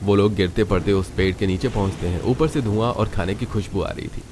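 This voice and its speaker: A man narrating a Hindi cartoon story in an even storytelling voice. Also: fairly low pitch, brisk, background noise.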